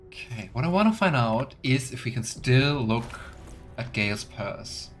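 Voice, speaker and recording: A man's voice: medium pitch, lively intonation, measured pace. A man hosting a video game let's play, commenting and reading game texts aloud over game music. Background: soft game music.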